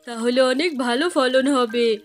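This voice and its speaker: A woman's voice: high-pitched, pitched below the performer's own voice. Woman playing a melodramatic young fox sister-in-law. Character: scheming, gloating fox sister-in-law, later desperate. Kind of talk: cartoon character acting.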